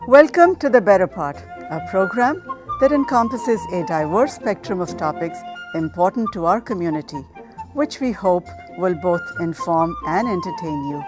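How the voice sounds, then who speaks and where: A woman's voice: lowish voice, very animated, normal speed, music in the background. A woman announcer reading a formal scripted welcome to a TV program. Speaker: show announcer.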